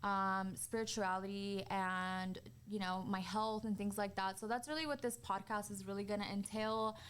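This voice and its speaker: A woman's voice: mid-range voice, steady tone, normal speed, a bit bright, clear, resonant tone. Young woman chatting casually on a podcast. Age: 20s. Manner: informal, candid, peppers talk with "like". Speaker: podcast co-host.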